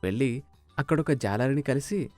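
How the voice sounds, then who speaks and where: A man's voice: mid-range voice, animated, moderate pace, a little mellow, dry recording, soft background music. A man narrating a scripted moral story as a storyteller.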